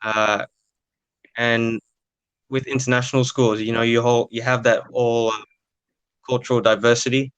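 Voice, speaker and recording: A man's voice: mid-range voice, level tone, moderate pace, pauses often, a bit bright, clear, resonant tone, dry recording, rough recording. A nineteen-year-old man answering interview questions casually and hesitantly, with lots of filler sounds. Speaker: podcast guest, young footballer.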